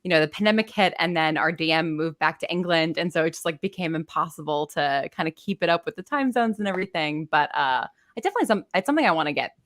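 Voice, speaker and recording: A woman guest on a streamed interview speaks from a video call. Her voice sits low for a woman, and she chats with easy enthusiasm, dropping in plenty of fillers. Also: lively intonation, fast, few pauses, fairly bright tone. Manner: chatty, enthusiastic, frequent fillers. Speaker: podcast guest, fiction writer. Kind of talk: casual interview chat.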